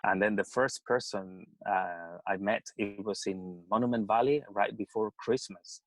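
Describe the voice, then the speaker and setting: A man's voice: on the low side, a little slow, no echo, rough recording. A man from Spain speaking English with a Spanish accent, chatting as a podcast guest. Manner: chatty with frequent laughter. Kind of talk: casual podcast conversation.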